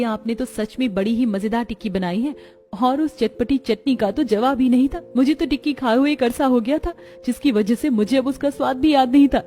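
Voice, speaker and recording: A woman's voice: high-pitched, mellow, lightly acted. A woman playing caring, earnest women of a poor family and neighbourhood in a children's cartoon. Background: music.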